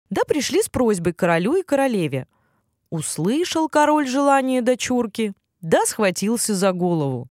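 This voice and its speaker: Animated woman reading a fairy tale. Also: mid-range voice, no echo, studio quality. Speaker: storyteller narrating a children's fairy tale. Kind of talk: expressive scripted fairy-tale narration.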